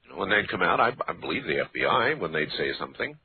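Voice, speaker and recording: A man in his fifties hosting a radio talk show, speaking casually with halting restarts and repeated words. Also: sits low, sing-song, breathy edge. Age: 50s. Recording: tinny broadcast phone-band audio.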